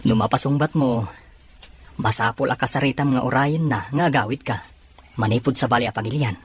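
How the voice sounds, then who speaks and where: A man's voice: medium pitch, fairly quick, breathy edge. A man playing a candid Ilocano friend giving advice in an audio drama, with an Ilocano accent. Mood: advising.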